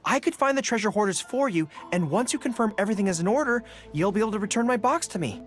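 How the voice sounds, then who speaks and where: A woman's voice: mid-range voice, animated, quick pace, soft background music, natural voice. A woman voicing an earnest, polite young male general with canine ears in a video game, often thinking aloud.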